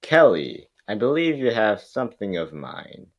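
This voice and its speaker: A man's voice: on the low side, sing-song, unhurried, dull audio, voice pushed up, exaggerated voice. Man playing an indignant, ranting mad scientist villain. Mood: menacing. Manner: declamatory, indignant. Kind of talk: cartoon table-read acting.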